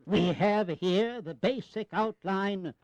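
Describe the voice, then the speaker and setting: Genial old statesman, high voice, slow and witty, avuncular, history audio drama. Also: a little mellow, dry recording, muffled recording. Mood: jovial, wry.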